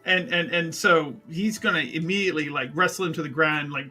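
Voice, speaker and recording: A man with a slightly high, bright and husky voice plays a tabletop roleplaying game. He talks casually and excitably, doubling back on his words, over faint music. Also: a little fast. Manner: excitable, repeats words as he goes.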